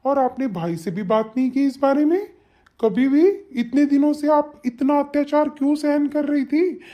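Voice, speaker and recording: A muffled, lo-fi recording of a protective grown son from a family cartoon, acted by a woman. The voice sits fairly high and speaks emphatically, pressing each point as a devoted family man. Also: normal speed, close to an everyday voice. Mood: upset, indignant. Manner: emphatic, insistent, repetitive. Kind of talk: melodramatic family cartoon dialogue. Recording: dull, low-fidelity sound.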